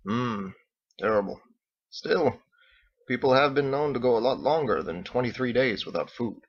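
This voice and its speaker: A man voicing a wry, smug and courtly male seafarer in an audiobook, with formal, unhurried phrasing. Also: medium pitch, animated, slightly rough, muffled recording. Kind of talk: character voice in audiobook narration.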